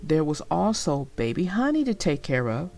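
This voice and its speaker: A woman's voice: deep voice, big pitch swings, moderate pace, a little mellow, faint music behind. A woman narrating a children's storybook as a read-aloud for young listeners.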